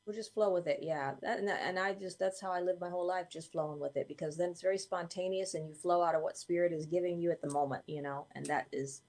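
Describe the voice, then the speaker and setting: A woman's voice: fairly low pitch, fairly even intonation, fast talker, few pauses, darkish tone. A woman co-hosting a casual live show, easygoing and agreeable, filling in with frequent yeahs, speaking over a video call. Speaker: co-host. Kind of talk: casual live-stream chat.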